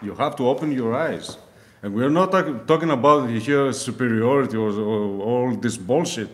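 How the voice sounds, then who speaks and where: A man from Greece whose first language is Greek, speaking halting English as a guest, repeating himself and getting heated. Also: mid-range voice, a little hiss or hum. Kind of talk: casual conversation.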